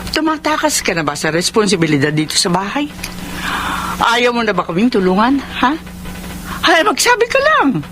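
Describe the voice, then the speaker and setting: A demanding, resentful middle-aged mother in a radio drama. Her voice is high and cutting, confrontational in every question. There is a faint noise behind her. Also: big pitch swings, normal speed. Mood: accusing. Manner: accusing, confrontational, sharp rhetorical questions. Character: bitter, demanding mother who guilt-trips and resents. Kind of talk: radio drama acting in Filipino.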